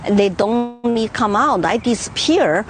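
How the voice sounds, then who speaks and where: A woman with a Chinese accent, a native Mandarin speaker, answering interview questions earnestly in halting English. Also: fairly high pitch, expressive, a little hiss or hum. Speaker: interviewee, political candidate.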